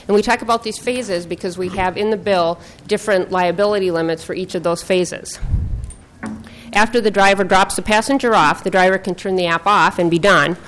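Woman legislator, mid-pitched, measured committee testimony from notes, faint room hiss. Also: poor audio. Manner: measured, reads from prepared notes. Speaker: state senator presenting a bill.

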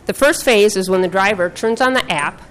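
A woman senator formally presenting her bill to a legislative committee, reading from prepared notes in a measured way. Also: medium pitch. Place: legislative committee hearing room.

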